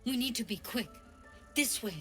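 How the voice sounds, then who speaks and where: A woman's voice: fairly high pitch, normal speed, fairly bright tone, faint music behind, close to an everyday voice. A woman playing a caring but guarded druid healer in a video game, with a practical, matter-of-fact way of talking. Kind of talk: naturalistic video game acting.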